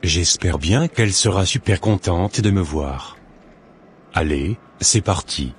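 A man's voice: low-pitched, expressive, slow pace, a little hiss or hum. A man voicing a courteous, friendly shop customer in a cartoon, speaking politely.

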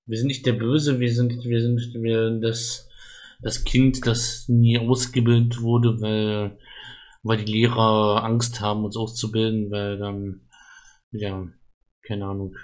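A man with a fairly low, monotone voice comments casually on a game he is streaming. He speaks slowly and haltingly, working things out aloud, with soft music behind.

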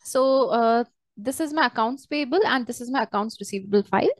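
A woman walking through a software tutorial step by step as a trainer, in a hesitant, halting way. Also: fairly high pitch, no echo, studio quality. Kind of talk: screen-share software tutorial, unscripted walkthrough.

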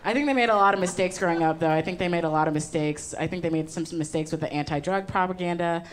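Young woman, low voice, stand-up comic, handheld mic, loose chatty delivery, loud live audience laughter. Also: quick pace, heavy background noise. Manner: conversational punchlines with frequent ums and laughs. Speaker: stand-up comedian. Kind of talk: stand-up comedy set. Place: comedy stage before a live audience. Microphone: handheld microphone.

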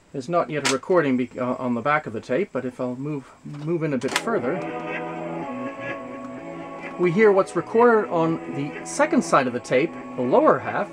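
A man giving an unscripted explanatory demonstration, speaking haltingly with frequent hesitations and self-corrections. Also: on the high side. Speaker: presenter demonstrating vintage audio gear.

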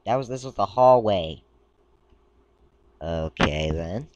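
A man casually commenting while browsing a game page, talking in a rambling, halting way and stumbling as he reads aloud, a little sick. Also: low voice, expressive, muffled recording, background noise. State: a bit sick. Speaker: gaming video creator. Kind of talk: casual screen-recording commentary.

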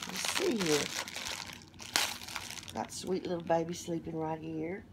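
A middle-aged woman with a hoarse voice, chatting casually as she opens gifts at home and gushes over each one. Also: fairly low pitch, fairly even intonation, slow pace, heavy background noise. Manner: gushing, softly delighted exclamations. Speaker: vlogger opening gifts. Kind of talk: casual unboxing chat. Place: living room at home.

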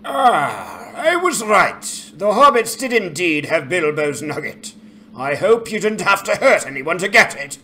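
A man voicing an old dwarf lord who speaks in a measured, formal, emphatic way in a dramatized fantasy game. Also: sits high, big pitch swings, pushed and bright, exaggerated voice. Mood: pleased, scornful. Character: old dwarf lord, commanding, shrewd, faintly scornful.

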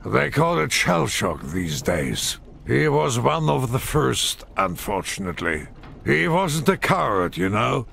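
An actor playing a gruff, weary veteran military commander with a gravelly, husky voice in a video game. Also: male voice, mid-range voice, big pitch swings, measured pace, a bit bright, slight background noise, full character voice. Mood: somber. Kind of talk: video game cutscene acting.

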